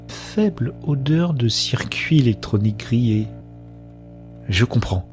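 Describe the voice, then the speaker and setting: A man acts out an introspective woman narrating her own science fiction story in a mid-pitched voice. The delivery is descriptive and full of wonder, like an inner monologue in an audio drama. Music plays underneath. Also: dark tone. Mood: hushed, resolute. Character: awestruck woman newly joined to a collective consciousness.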